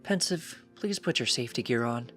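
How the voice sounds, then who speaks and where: A man's voice: medium pitch, lively intonation, normal speed, fairly bright tone, faint music behind, voice pushed up, lightly acted. A man voicing a careful, fretful female pony, a precise and chiding wife in a storybook reading. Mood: anxious.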